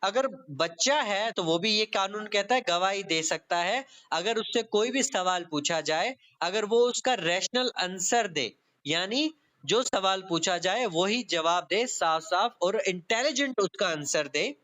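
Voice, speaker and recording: A man's voice: sits high, animated, measured pace, bright, forceful tone. A man lecturing online on law, explaining step by step didactically and mixing English terms into his speech. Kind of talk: online exam-prep lecture, explaining and reading out clauses. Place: online meeting class.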